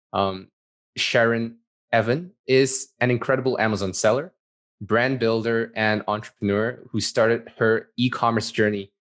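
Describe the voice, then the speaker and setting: A man hosting a podcast, reading a guest introduction and asking questions in a measured way with small hesitations. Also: medium pitch. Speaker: podcast host. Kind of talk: scripted intro then casual interview.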